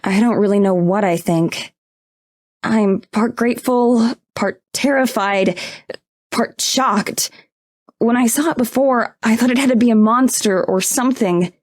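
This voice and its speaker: A woman's voice: a little high, a little slow, darkish tone, dry recording, clean studio sound. A woman playing an earnest, unsettled young woman in a visual novel, speaking in a hesitant, sincere, conversational way. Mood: uncertain, curious.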